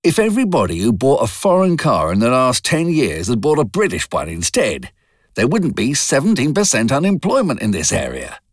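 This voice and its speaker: A man playing a blunt, contemptuous middle-aged factory boss who talks in a gruff, lecturing way. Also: mid-range voice, huge pitch swings, moderate pace, no echo, clean studio sound, voice pushed up.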